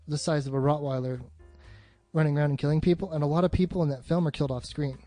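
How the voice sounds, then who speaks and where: Male podcast co-host, slightly high voice, dry close sound, sweary and opinionated film chat, faint music behind. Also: steady tone, fast, dark tone, no echo. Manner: profane, emphatic, opinionated. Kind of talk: casual film discussion.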